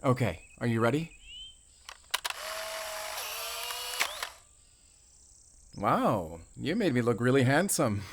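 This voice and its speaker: Guy acting as a friendly young forest ranger dude, rambly and eager, gets a bit shy, audio roleplay, mid pitched voice. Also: big pitch swings, unhurried, heavy background noise. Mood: bashful, playful. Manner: chatty, rambling, eager, with bashful hesitations.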